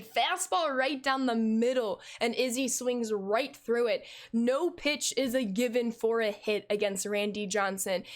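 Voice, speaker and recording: A woman in her twenties with a fairly high, slightly hoarse voice calls the action of a game for a live stream. She keeps an upbeat, quick commentator's rhythm and breaks off to thank viewers by name. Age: 20s. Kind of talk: live play-by-play commentary and chat reading.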